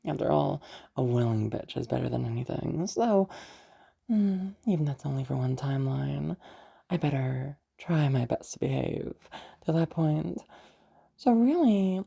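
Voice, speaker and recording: A woman's voice: low voice, animated, measured pace, vocal fry, dark tone, slightly rough, voice pushed down, heavily acted. A woman voicing a possessive, villainous woman in a roleplay audio drama, sultry, menacing and coolly controlling, with a taunting purr.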